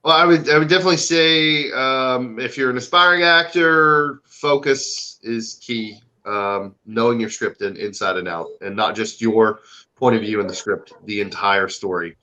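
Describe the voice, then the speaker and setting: A man speaking casually in an interview as a stage actor, earnest and halting, often repeating his phrases. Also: mid-range voice, sharp tone, lo-fi sound.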